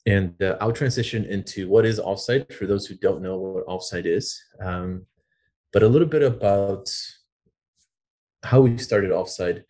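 Deep-ish male educator, formal and earnest, fillers between phrases. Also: a little slow, dark tone. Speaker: design educator and program director. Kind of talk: webinar presentation.

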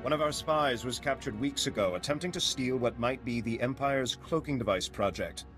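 An actor playing an earnest, insistent resistance leader in a video game, serious and deliberate. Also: male voice, mid-range voice, a bit bright, faint music behind, close to an everyday voice. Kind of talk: video game cutscene acting.

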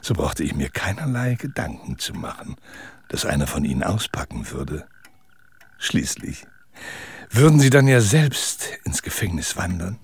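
A man acting a smug, cold-blooded murderer in a German audio drama, gloating and deliberate. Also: deep voice, big pitch swings, husky, no echo, exaggerated voice. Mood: smug confession.

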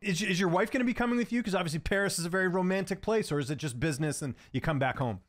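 A man in his thirties hosting an interview, asking questions in a brisk, friendly way. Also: sits high. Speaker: interview host.

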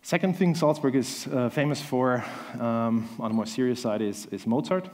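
A man's voice: mid-range voice, normal speed, a little mellow. A man in his thirties from Austria with an Austrian German accent, giving a chatty, self-deprecating conference talk on a large stage, with many ums. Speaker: conference speaker.

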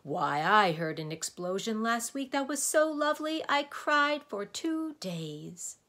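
A woman voicing an emotional, boisterous male smog creature in a storybook reading, bellowing and over-excited. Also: a little high, slow, voice pushed up. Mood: tearful.

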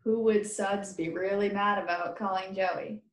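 An American woman in her thirties reading a children's book aloud as a teacher, pausing to explain and ask listeners questions in simple words. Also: mid-range voice, fairly even intonation. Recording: roomy, echoing webcam recording.

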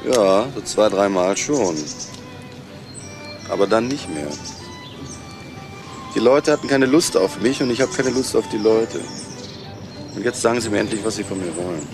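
An actor playing a gruff, indifferent ex-convict in a crime radio drama, with a terse, blunt, offhand way of talking. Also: male voice, fairly low pitch, normal speed, pauses often, music in the background, natural voice. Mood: weary, defiant.

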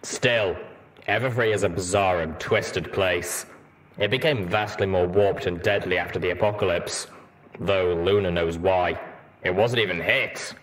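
A man voicing a blunt, world-weary armored pony soldier in a measured, matter-of-fact way for a storybook reading. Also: low-pitched, a bit bright, poor audio. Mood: grim.

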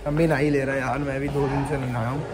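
A man's voice: mid-range voice, little pitch movement, rapid-fire, very noisy. A young man chatting casually to his phone camera as a vlogger, joking with friends in a hostel, on the street and in a mall. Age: young adult. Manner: joking, teasing friends, filming selfie-style. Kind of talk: casual vlog chat. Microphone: phone at arm's length.

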